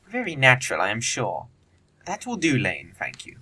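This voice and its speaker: An actor playing a flippant, witty young upper-class English bachelor in an audio play, with arch, lofty, affected phrasing. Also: male voice, mid-range voice, huge pitch swings, moderate pace, sharp tone, slightly rough, full character voice. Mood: dryly lofty. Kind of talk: audio play acting of Victorian comedy of manners.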